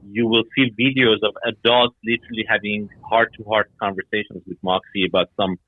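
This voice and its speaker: A man's voice: on the low side, little pitch movement, brisk, no echo. A middle-aged man from Iran and Denmark giving explanatory answers as an interview guest. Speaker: guest, startup founder. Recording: tinny phone-like line.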